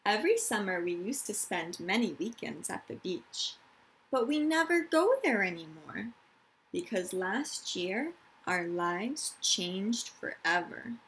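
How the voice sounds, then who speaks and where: A woman's voice: medium pitch, animated, slow pace, fairly bright tone, breathy edge, rough recording. A woman, a teacher of young children reading aloud and explaining things simply, stressing key words. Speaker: elementary school teacher.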